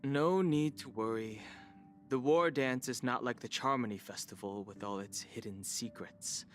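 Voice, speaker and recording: A man voicing a reserved, matter-of-fact young man in a video game, with a deadpan delivery. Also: medium pitch, unhurried, faint music behind, lightly acted. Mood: reassuring, matter-of-fact. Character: cool, reserved young man, dryly factual.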